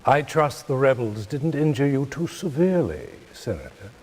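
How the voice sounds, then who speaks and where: An older man playing a falsely polite, menacing corporate chairman in a video game, speaking in a measured, condescending way. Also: mid-range voice, sing-song, darkish tone, slightly rough. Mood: falsely sympathetic. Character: smooth, menacing older male chairman of a ruthless consortium. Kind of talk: dramatic video game cutscene acting.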